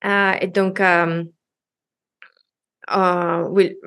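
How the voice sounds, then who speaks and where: A woman's voice: on the low side, level tone, very slow, fairly bright tone. Hesitant Russian-accented woman in her thirties. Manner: hesitant, many fillers and restarts. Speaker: guest. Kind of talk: casual interview chat in French.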